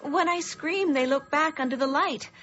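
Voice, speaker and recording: An actress playing a distressed small-town spinster aunt, halting and flustered, in a radio drama. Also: female voice, sits high, pushed and bright. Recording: muffled, noisy radio play recording.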